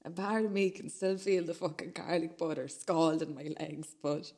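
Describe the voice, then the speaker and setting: An actress playing a shaken, obsessive witness, delivering an intense and halting radio drama monologue with repeated phrases. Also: female voice, sits low, fairly even intonation, mellow, lightly acted. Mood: shocked. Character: shaken, obsessive witness recounting violent footage.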